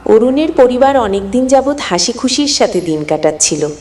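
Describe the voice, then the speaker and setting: A woman's voice: on the high side, big pitch swings, moderate pace, darkish tone. A woman narrating a scripted moral story in an earnest, dramatic storytelling manner. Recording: long hall-like reverb.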